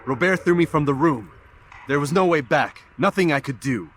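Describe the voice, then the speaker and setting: An actor playing a proud young assassin in a video game, speaking in a terse, cool, restrained manner. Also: male voice, fairly high pitch, animated, normal speed. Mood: defensive. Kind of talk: dramatic video game acting.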